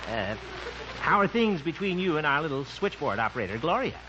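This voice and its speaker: An actor playing a jovial, self-satisfied small-town bachelor in an old-time radio comedy, with a folksy, chuckling, self-assured manner. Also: male voice, a little high, sing-song, fairly quick. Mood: amused, curious. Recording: muffled, noisy old-time radio recording.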